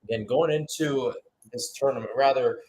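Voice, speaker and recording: A man's voice: medium pitch, moderate pace, a little mellow, a little hiss or hum. A man giving live radio-style play-by-play commentary on a football match, announcer-like and speaking in short phrases.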